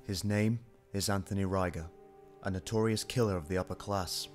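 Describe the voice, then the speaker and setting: A man's voice: deep voice, fairly even intonation, normal speed, soft background music, lightly acted. A man acting a hot-tempered, obsessive, weary police detective in an amateur radio drama, muttering and swearing. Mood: troubled. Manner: brooding, muttering to himself, swearing. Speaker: voice actor in amateur radio play.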